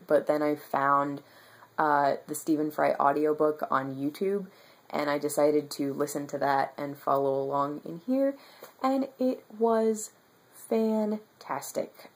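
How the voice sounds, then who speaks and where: Young woman with a stuffy nose, rambling. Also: deep voice. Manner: rambling and enthusiastic, many ums. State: stuffy nose, losing her voice. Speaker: book vlogger. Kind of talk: casual monthly reading wrap-up. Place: bedroom with bookshelves, indoors.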